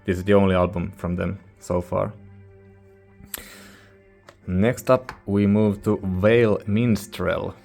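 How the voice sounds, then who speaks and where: A man's voice: low-pitched, steady tone, slow, vocal fry, long pauses, a little mellow, soft background music. A man who is a non-native English speaker gives a casual, rambling review as a host, informal and hesitant as he searches for words. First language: not English. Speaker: music reviewer, channel host.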